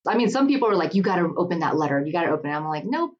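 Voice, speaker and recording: Low-voiced woman in her 40s, candid chatty guest, laughs a lot, slightly muffled audio. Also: very animated, very fast, a little mellow. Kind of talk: casual conversation.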